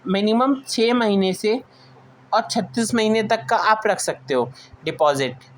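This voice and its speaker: A woman's voice: mid-range voice, lively intonation, measured pace, slight background noise. A woman with an Indian accent giving an informal lecture, explaining notes point by point and restating them as she mixes in English terms. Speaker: teacher.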